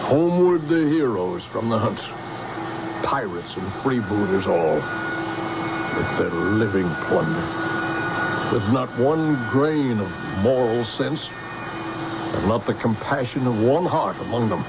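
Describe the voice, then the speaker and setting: A creaky-voiced old professor, weary and wry, speaking in grand bitter phrases. It is vintage radio drama, muffled and laced with hiss. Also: male voice, medium pitch. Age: older.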